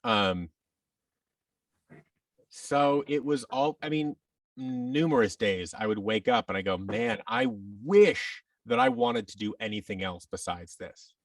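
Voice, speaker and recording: A man's voice: fairly low pitch, sing-song, slow, vocal fry, no echo, recorded from a distance. A man telling personal stories as a podcast guest, candid and reflective, often interrupting himself.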